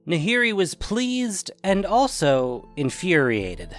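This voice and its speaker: Animated male fantasy story narrator. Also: high voice, slow, faint music behind. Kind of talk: dramatic scripted story narration.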